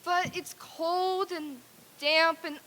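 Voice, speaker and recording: A woman's voice: extremely high pitch, fairly even intonation, slow pace, sharp tone, exaggerated voice. A woman playing a crotchety, frightened old miser in a blustering, theatrical radio-play reading. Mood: astonished. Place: theater stage. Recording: echoey hall, faint noise.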